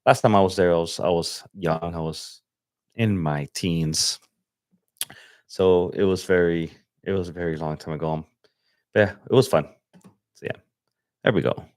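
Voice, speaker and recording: A young man from Los Angeles chatting casually on a livestream as he edits photos, relaxed and slangy, thinking aloud with fillers. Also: deep voice, measured pace, long pauses, dry recording. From Los Angeles, USA. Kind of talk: casual livestream chat while editing. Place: home desk setup.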